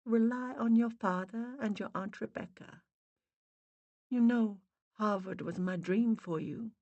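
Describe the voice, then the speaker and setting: A woman's voice: medium pitch, unhurried, darkish tone, voice pushed up. Woman voicing a faint, muffled ghostly Boston mother. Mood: tender, urging. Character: faint, loving ghost of a middle-aged mother.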